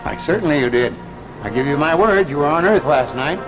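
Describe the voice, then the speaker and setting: An actor playing a grave, regretful conspirator, solemn and formal, in a 1950s science fiction drama. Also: male voice, a little high, animated, a little fast, lightly acted. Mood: assured, then shaken. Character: grave, regretful male conspirator delivering doom. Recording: muffled vintage broadcast with music.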